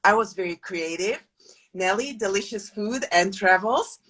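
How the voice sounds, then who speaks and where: A woman's voice: medium pitch, unhurried, a bit bright, low-quality recording. A woman from Hungary, a guest in a casual interview, talking with many hesitant fillers and restarts. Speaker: guest, food tour company founder.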